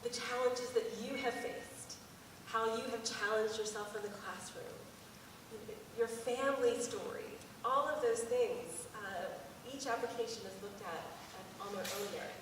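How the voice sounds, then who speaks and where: A woman's voice: on the high side, unhurried. A woman explaining earnestly and reassuringly as an admissions officer on a panel. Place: large room at a public panel event. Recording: echoey hall from far off, noisy.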